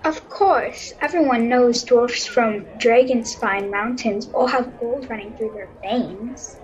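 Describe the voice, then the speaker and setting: A polite, soothing young adventurer played by a girl in a fantasy radio play. The voice is high, heard through dull, lo-fi call audio. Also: slow pace. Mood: placating. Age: child, 10 to 13. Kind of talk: amateur radio-serial fantasy acting.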